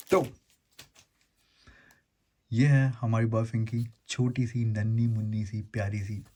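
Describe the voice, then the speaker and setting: A man's voice: mid-range voice, noisy background. A man hosting a casual, chatty gadget unboxing and explainer, informally mixing English words into his talk. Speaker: YouTube host unboxing and reviewing gadgets.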